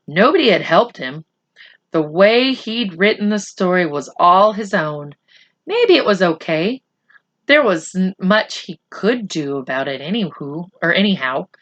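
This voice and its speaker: A woman's voice: fairly low pitch, a bit bright. Woman reading aloud with chatty asides. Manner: informal, self-correcting, explains to young listeners. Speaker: storyteller reading a children's book aloud. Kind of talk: read-aloud narration with chatty asides to children.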